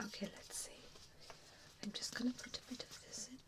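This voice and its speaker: A woman's voice: fairly high pitch, fairly even intonation, brisk, natural voice. A woman voicing a kindly, apologetic vampire in a whispered ASMR roleplay, hesitant and reassuring as she tends to a patient. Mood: gentle, caring. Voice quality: soft breathy whisper. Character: nice, apologetic female vampire tending a newly bitten patient.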